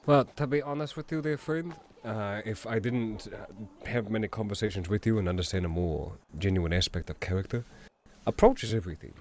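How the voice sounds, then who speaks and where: A man playing a courteous, slightly pompous immigrant businessman who speaks Mandarin natively, talking formally and deliberately. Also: lowish voice, darkish tone, lightly acted. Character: courteous Chinese immigrant businessman, mayoral hopeful. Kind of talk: roleplay dialogue.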